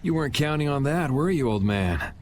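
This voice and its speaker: An American actor playing a cool, wry, self-assured young hero in an anime game dub, speaking in a dry, sardonic way. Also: male voice, a little high, animated, a little fast, slight background noise, close to an everyday voice. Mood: dryly teasing.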